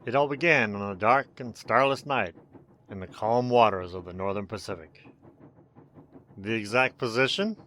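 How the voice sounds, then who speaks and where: An actor playing a mysterious, sinister but courteous host, narrating radio horror in a measured, theatrical way. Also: male voice, fairly low pitch, fairly bright tone, close to an everyday voice. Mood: ominous, inviting.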